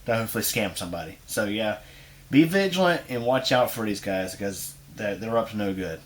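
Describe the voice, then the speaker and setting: A man's voice: lowish voice, lively intonation, fairly quick. Folksy man talking to camera. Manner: rambling, folksy, many ums and restarts. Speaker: YouTuber. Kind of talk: casual vlog talk to camera.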